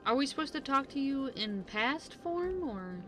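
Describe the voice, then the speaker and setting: A woman's voice: high-pitched, big pitch swings, moderate pace. A woman giving casual live commentary as she streams a game. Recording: game music behind voice. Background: game music.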